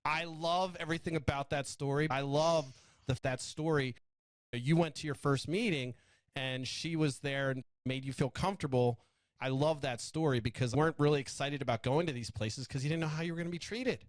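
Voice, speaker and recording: A man's voice: on the high side, fairly even intonation, fairly quick, dry recording. A man hosting a casual interview, asking curious questions and sometimes searching for his words. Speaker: podcast host.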